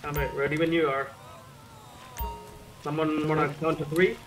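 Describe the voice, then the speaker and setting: Higher-voiced man in roleplay voice chat. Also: flat delivery, normal speed, over music. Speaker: online roleplay game player. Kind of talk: casual in-character roleplay chat. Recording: faint noise behind game voice chat.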